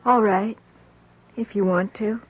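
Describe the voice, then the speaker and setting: Listless young woman, slow husky speech, withdrawn, detached, terse, old radio drama. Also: mid-range voice, steady tone, no echo. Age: young adult. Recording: tinny, narrow old radio recording.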